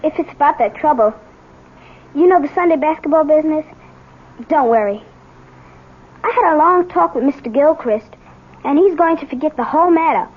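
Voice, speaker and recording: An earnest schoolboy played by a woman speaks in a high, youthful voice. He is reassuring and open, in the manner of 1970s radio drama. The sound is thin and tinny, like an old broadcast. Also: lively intonation, moderate pace, pauses often.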